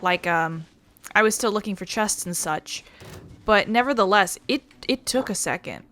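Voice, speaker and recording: A woman's voice: mid-range voice, moderate pace, a bit bright. A woman giving casual, rambling let's play commentary, thinking aloud as she goes. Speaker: gaming channel host.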